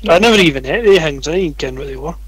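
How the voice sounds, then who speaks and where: A man's voice: on the high side, expressive, very fast, a bit bright, slightly rough, no echo. A Scottish man co-hosting a casual podcast, blunt, sweary and sardonic. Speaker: podcast co-host. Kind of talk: casual chat.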